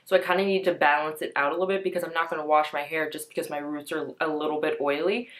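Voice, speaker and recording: A young woman getting over a cold, so her voice comes out a bit nasal and stuffy. She talks to camera in a chatty, easygoing beauty-vlog way, full of "like" and "um". Her pitch sits a little on the low side. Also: fairly even intonation, fast talker. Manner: chatty, peppered with "like" and "um". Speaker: beauty vlogger. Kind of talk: casual chat to camera.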